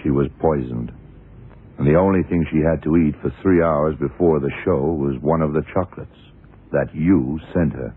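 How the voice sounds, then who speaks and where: An actor playing a blunt, dry police detective in a 1970s radio crime drama, questioning in a clipped, matter-of-fact way. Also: male voice, extremely low pitch, moderate pace, a little hiss or hum. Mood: puzzled, methodical. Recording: tinny, band-limited old radio recording.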